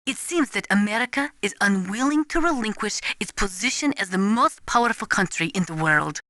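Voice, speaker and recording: A serious female weapons analyst in a video game. Her voice is bright and crisp-edged, with lively movement in pitch, recorded very dry. She lectures formally and precisely, in earnest. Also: moderate pace, no echo. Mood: grave, explanatory. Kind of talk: scripted expository video game dialogue.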